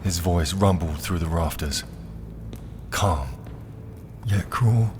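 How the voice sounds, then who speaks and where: A man narrating a dark first-person audio drama, brooding and deliberate, with dramatic pauses. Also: low voice. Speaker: narrator and performer. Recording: clear ambient noise behind the voice.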